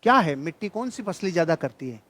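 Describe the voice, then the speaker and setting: A man with a high-pitched voice delivers a motivational seminar speech. He is emphatic and repeats key phrases for effect. His storytelling is colloquial and laced with humour. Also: sing-song, quick pace, a bit bright, dry recording. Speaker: motivational speaker and trainer.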